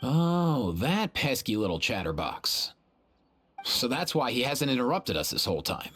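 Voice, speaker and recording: A man's voice: mid-range voice, sing-song, measured pace. An actor playing a laid-back, slangy young man in a game, a wry and exasperated caretaker of his friends. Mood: worried, wry. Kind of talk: video game cutscene acting. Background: soft game music.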